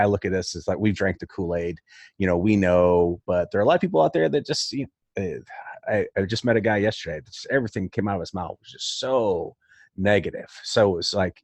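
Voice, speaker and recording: A man's voice: low voice, a little mellow, no room sound. A man hosting a podcast in a casual, chatty, rambling conversational style. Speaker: podcast host.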